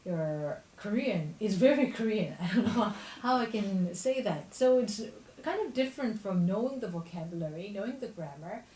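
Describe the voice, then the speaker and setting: A woman hosting a radio show in two languages, talking rapidly and switching between them. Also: medium pitch, lively intonation, a little mellow, reverberant, distant mic, slight background noise, low-quality recording. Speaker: radio host.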